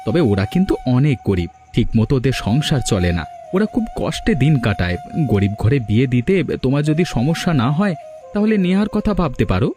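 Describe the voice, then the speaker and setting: A devoted, shy young cartoon grandson acted by a man. His delivery is extremely lively and up-and-down, over soft background music. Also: mid-range voice, a little fast, close to an everyday voice. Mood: bashful. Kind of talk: cartoon voice acting.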